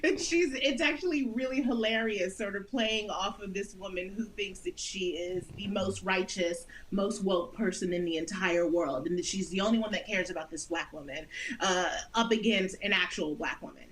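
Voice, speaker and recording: Animated laughing woman guest. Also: mid-range voice, normal speed, a little hiss or hum, rough recording. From United States. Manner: animated, laughs often, earnest. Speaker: guest actress. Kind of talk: interview conversation.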